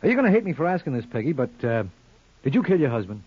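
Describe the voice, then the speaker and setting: An actor playing a wisecracking, flirtatious lawyer with glib, wry banter in a 1950s radio detective drama. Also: male voice, fairly high pitch, big pitch swings, quick pace, husky. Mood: wry, confiding. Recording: dull old-time radio recording.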